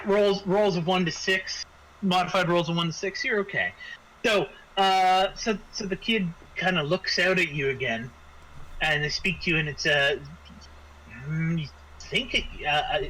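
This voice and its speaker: Guy running a tabletop rpg, bright slightly high voice, halting, bit of noise. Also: sing-song, poor audio. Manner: halting, hesitant. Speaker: guest game master. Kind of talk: improvised tabletop game narration.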